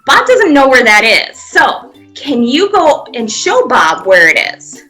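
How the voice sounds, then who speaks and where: A woman's voice: high voice, huge pitch swings, slow pace, sharp tone, faint music behind. A woman guiding young children through pretend play, giving simple encouraging step-by-step prompts with playful sound effects. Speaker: children's museum presenter leading a pantomime activity.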